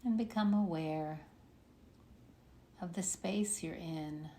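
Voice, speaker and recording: Woman doing guided meditation, really slow and creaky, soothing w long pauses, bit of background noise. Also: on the low side, mellow. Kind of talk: guided meditation, body scan.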